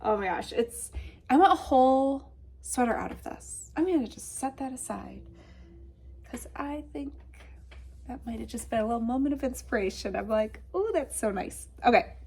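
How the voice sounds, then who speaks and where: A woman with a Michigan accent chats bubbly and casually about her craft, full of asides. Her voice sits fairly high and comes out congested and a little nasal, like someone with a cold. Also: lively intonation, normal speed, a little mellow, a little hiss or hum. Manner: chatty, bubbly, with many asides. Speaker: knitting podcast host. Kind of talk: casual chat.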